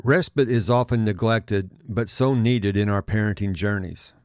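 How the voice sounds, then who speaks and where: A middle-aged man narrating a scripted podcast as host, in a measured, encouraging manner. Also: on the low side, dry recording, studio quality. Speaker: podcast host, adoption life coach.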